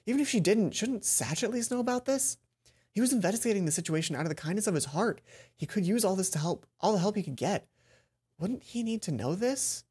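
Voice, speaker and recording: Animated young man narrating a game. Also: sits high, fairly quick, husky. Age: young adult. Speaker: let's play host. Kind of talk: expressive read-aloud narration and game commentary. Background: game music.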